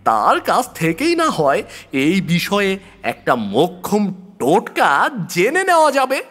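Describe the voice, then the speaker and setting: Man voicing an excited, high-pitched persuasive friend. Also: slow pace, in a large hall, full character voice. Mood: urgent advising. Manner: emphatic, insistent, excited. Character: earnest, persuasive male friend with firm faith.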